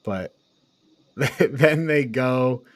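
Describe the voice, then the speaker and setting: Man, podcast co-host, mid-pitched voice, casual and rambling, sweary, prone to chuckling, dry close sound. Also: animated, extremely slow, dry recording. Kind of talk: casual film review chat, retelling the plot.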